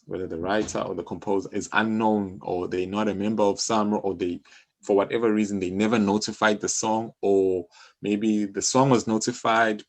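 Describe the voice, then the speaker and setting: A South African man explaining things conversationally as an interview guest, often halting and repeating his phrases. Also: on the low side. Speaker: interview guest, music publisher.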